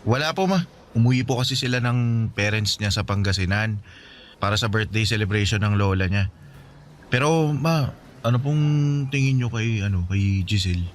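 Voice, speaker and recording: A man's voice: lowish voice, normal speed, long pauses, slight background noise, natural voice. An actor playing a polite young man talking respectfully with his mother about the girl he is courting in a radio drama. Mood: respectful, smitten. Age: young adult. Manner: polite and respectful, uses honorifics. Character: polite young man in love, devoted son.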